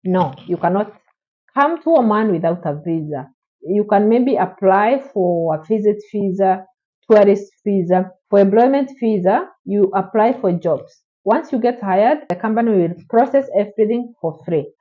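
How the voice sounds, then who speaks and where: A woman's voice: medium pitch, expressive, normal speed. Female vlogger, encouraging and direct, slightly muffled recording. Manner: encouraging, repetitive, direct address. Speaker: vlogger answering viewer questions. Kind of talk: casual advice vlog. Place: Oman.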